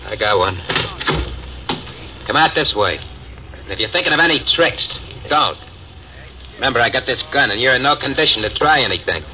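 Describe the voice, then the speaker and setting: A ruthless, greedy gang boss who makes menacing threats in an old radio crime drama, as a man plays him. He sounds a little set back from the mic, and the old recording is dull with clear hiss behind it. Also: mid-range voice, lively intonation, moderate pace, pauses often, recorded from a distance, lightly acted. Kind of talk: 1940s radio acting.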